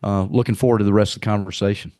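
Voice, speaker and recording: Middle-aged Southern man, low-pitched, earnest and rambling, dry close recording; podcast guest. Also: steady tone, rapid-fire, dark tone, dry recording. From Arkansas, US. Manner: rambling, repeats words, earnest. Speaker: podcast guest, leadership coach. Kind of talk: casual conversational interview.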